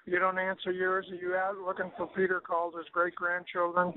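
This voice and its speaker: A man's voice: high voice, completely flat delivery, moderate pace. An older man leaving casual, sardonic voicemail messages, wisecracking and grumbling over a telephone. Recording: tinny phone-line voicemail recording.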